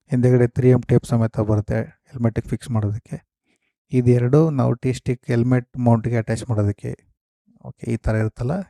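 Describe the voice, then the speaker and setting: A man's voice: mid-range voice, gravelly, mellow, no room sound, studio quality. A man hosting a casual unboxing, explaining things step by step with English terms mixed in. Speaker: host of a gear unboxing and review video. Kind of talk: casual product walkthrough.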